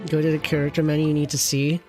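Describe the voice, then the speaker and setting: A streamer giving casual, rambling game commentary, teasing and chatting with viewers, in a middle-pitched voice. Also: monotone, very fast, darkish tone, faint music behind.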